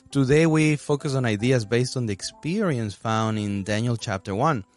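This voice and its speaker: A man hosting a podcast in a casual, conversational way. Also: mid-range voice. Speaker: podcast host.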